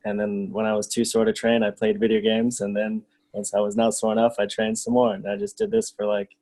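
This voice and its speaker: A thirty-year-old man chats easily over a video call, his voice low and mellow. He keeps an even, flat delivery and checks in with "you know what I mean". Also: fairly quick, rough recording. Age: 30s. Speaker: parkour coach. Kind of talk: casual group video call.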